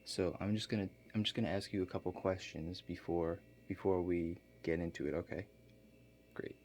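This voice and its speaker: Guy doing a chill contractor for asmr roleplay, really deep voice, soft and halting, matter of fact, bit of background noise. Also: steady tone, lightly acted. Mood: calm, matter-of-fact. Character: Chuck, laid-back male construction contractor. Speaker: ASMR role-play performer. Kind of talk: ASMR role-play, soft-spoken.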